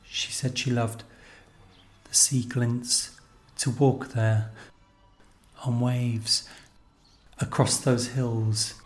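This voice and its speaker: A man narrating a scripted literary story in a measured, reflective manner. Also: medium pitch, level tone. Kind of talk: scripted literary narration of location-based stories.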